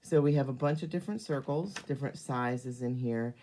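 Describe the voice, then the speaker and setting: A hoarse middle-aged woman hosts a relaxed live craft stream. Her voice sits very low and sounds warm and mellow. She rambles in a friendly way with plenty of ums. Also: fairly quick, slight background noise. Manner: chatty, many ums, greets viewers by name. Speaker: card-making demonstrator hosting a live stream. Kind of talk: casual live craft demonstration.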